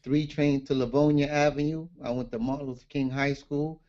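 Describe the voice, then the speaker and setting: Mellow-voiced New man, lo-fi audio. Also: medium pitch, a little fast. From Brooklyn, New York. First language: Garifuna. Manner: relaxed and plainspoken, with street slang. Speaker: guest author. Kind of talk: casual interview on a livestream.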